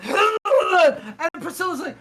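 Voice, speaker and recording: A woman's voice: very high-pitched, background noise. A giggly, often swearing woman casually narrating an improvised tabletop game as its game master.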